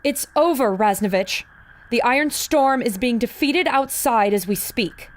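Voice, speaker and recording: A woman's voice: fairly high pitch, measured pace, slight background noise, lightly acted. A woman playing an anxious but plucky young adventurer in an audio drama. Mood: earnest. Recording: loud music over the voice.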